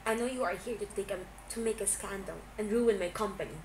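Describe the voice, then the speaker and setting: A woman's voice: mid-range voice, a little fast, reverberant, close to an everyday voice. Woman voicing a cold, accusing young businessman. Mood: cold, demanding. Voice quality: gruff. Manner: curt, demanding. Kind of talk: dramatised fan fiction reading. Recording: noisy amateur home recording.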